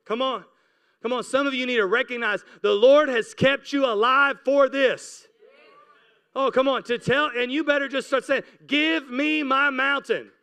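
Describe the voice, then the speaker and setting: A man's voice: extremely high pitch, fairly even intonation, normal speed, vocal fry, bright, forceful tone, clean tone. A man in his forties, a pastor preaching with impassioned, repeated urging and scripture reading into a handheld mic. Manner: exhorting, repetitive urging. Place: church stage, indoors. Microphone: handheld microphone held close.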